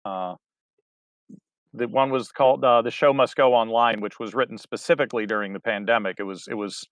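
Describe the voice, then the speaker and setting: A middle-aged man with a medium-pitched voice talks in a loose, rambling way. He stops often to restart his sentences and fills the gaps with ums and uhs. The sound is dry and close. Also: fairly bright tone, dry recording. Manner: rambling, halting, many fillers and restarts. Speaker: interview guest, theater director. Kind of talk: casual interview talk.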